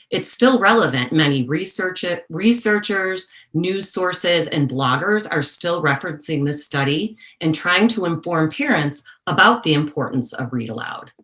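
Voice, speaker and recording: A woman giving a prepared educational presentation as a workshop presenter, explaining deliberately and repeating key phrases for emphasis. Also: sits low, fairly bright tone, roomy sound.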